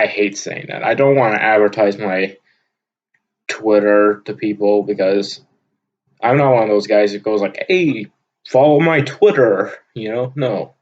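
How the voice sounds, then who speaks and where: Booming young man rambling into a computer mic. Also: highs cut off. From Vermont, USA. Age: young adult. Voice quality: loud booming voice. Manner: rambling, frequent filler words and restarts. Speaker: audio log host. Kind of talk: unscripted casual monologue. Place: at his desk, indoors. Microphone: computer microphone on a desk.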